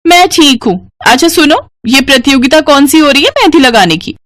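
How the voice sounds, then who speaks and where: A woman voicing a jealous, catty sister-in-law with a sharp, nosy, falsely polite manner. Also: high voice, lively intonation, brisk, dry recording, studio quality, voice pushed up.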